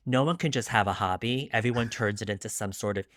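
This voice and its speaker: A man's voice: on the low side, quick pace, a bit bright, no room sound, clean studio sound. A man in his late forties answering interview questions conversationally as a debut novelist, reflective and a little self-deprecating.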